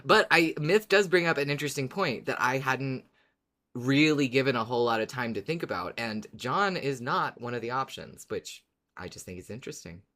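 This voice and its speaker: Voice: mid-range voice, lively intonation, moderate pace, bright, forceful tone. Enthusiastic podcast host in casual discussion.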